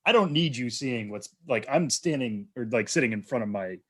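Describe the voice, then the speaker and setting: A man's voice: mid-range voice, animated, normal speed. A man co-hosting a podcast from his small apartment kitchen, chatting casually and teasing his partner in a friendly way. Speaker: podcast co-host. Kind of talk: casual chat. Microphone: video call.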